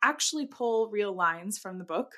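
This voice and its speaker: A woman's voice: medium pitch, animated, moderate pace, pushed and bright. A woman co-hosting a podcast, talking in a chatty, informal, self-deprecating way about books. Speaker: podcast co-host. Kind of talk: casual book discussion.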